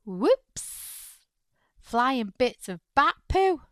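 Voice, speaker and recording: A woman hosting a children's storytime, reading a picture book aloud in an expressive, playful way. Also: sits high, drawn-out words, a little hiss or hum. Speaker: children's storytime host and narrator. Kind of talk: animated read-aloud narration of a picture book.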